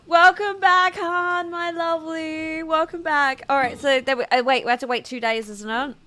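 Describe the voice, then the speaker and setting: A woman's voice: high-pitched, fairly even intonation, moderate pace, sharp tone. A woman streamer giving casual, giggly live reactions as she plays a game. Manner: giggly, gushing.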